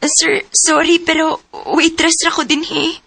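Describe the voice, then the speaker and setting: A woman's voice: very high voice, little pitch movement, measured pace, creaky, bright, forceful tone, full character voice. A woman playing a timid, pleading young woman in a radio drama, with a Cebuano Filipino accent. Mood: timid, reluctant. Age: young adult. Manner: pleading, earnest.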